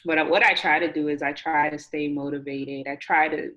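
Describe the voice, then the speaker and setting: A woman's voice: deep voice, fairly even intonation, moderate pace, fairly bright tone. A woman of twenty-eight, chatting casually and candidly as an interview guest and repeating phrases for emphasis, over a video call. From Nassau, Bahamas. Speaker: interview guest, chemistry graduate student. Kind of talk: casual chat. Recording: dull, lo-fi video call audio.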